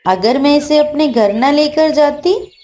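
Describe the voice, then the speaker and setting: A woman's voice: sits high, slow, darkish tone, soft background music. A woman voicing a caring, polite mother sparrow in a children's cartoon. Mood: kindly. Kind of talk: Hindi children's cartoon dialogue.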